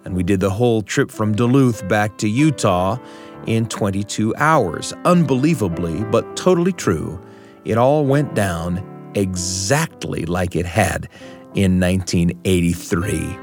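A man's voice: fairly low pitch, a little slow, soft background music. A man hosting a radio show, narrating like a storyteller with dramatic, emphatic phrasing.

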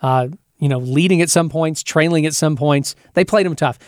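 A man's voice: a little high, normal speed, no room sound, studio quality. A man hosting a sports radio podcast, chatty and friendly, stumbling a little as he builds up his questions. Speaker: podcast host.

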